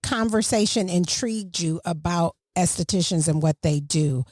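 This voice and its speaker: A woman's voice: fairly low pitch, normal speed, darkish tone, dry recording, studio quality. A middle-aged woman hosting a podcast, talking casually and motherly, giving advice to parents. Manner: chatty, motherly, preachy asides to parents. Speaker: podcast host. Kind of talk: casual chat.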